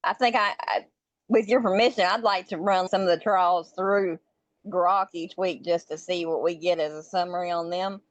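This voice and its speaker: A woman's voice: lowish voice, fairly even intonation, moderate pace, no echo, low-quality recording. A woman taking part as a moderator in a casual discussion, heard over online call audio. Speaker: moderator and regular participant.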